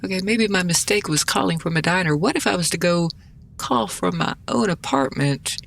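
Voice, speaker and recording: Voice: medium pitch, moderate pace, gravelly, fairly bright tone, slight background noise. A gameplay host giving casual, rambling commentary, muttering and thinking aloud in a self-deprecating way. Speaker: gaming video host.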